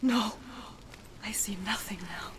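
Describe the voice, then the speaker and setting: A woman plays a lonely, tormented young warrior in a dramatic video game. Her voice is breathy and medium in pitch. The delivery is raw and intense, with clear ambient noise behind it. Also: slow pace, natural voice. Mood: anguished.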